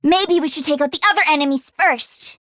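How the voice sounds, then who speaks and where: A teenage girl mechanic from a video game, with a high, youthful voice and a close, dry sound. She is a friendly tomboy, earnest and a little anxious, and speaks casually and expressively. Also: brisk, sharp tone, no echo. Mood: worried, alert. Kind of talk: English video game voice acting.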